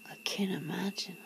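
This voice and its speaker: A woman playing a wary, wry human confronting a vampire lover in an ASMR roleplay, breathy and often slipping into a whisper, hesitant and casual. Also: on the low side, heavily acted, poor audio. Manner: hesitant, sardonic, casual, sighing. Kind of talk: ASMR roleplay, half-whispered one-sided dialogue. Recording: noticeable background noise and ambience.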